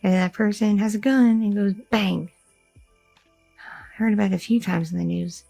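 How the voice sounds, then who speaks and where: A young goth girlfriend who overthinks everything and rambles through her worries, as a woman acts her out in an ASMR roleplay. Her voice is low-lit and mellow with a gravelly, husky edge. It is recorded close and dry over faint music. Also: steady tone, normal speed, no room sound. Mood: anxious.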